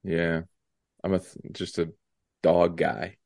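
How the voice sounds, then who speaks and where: A young man talks in a slightly low voice clogged with a stuffy nose. He halts often, filling gaps with ums and restarting sentences. The sound is close and dry. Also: flat delivery, vocal fry, a little mellow, no echo. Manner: halting, many ums and restarts. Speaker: podcast co-host. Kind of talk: casual chat.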